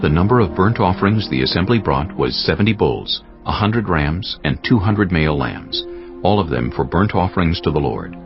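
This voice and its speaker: Extremely deep, gravelly male narrator. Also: animated, moderate pace. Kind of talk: scripted dramatized Bible narration. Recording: slightly muffled, music underneath.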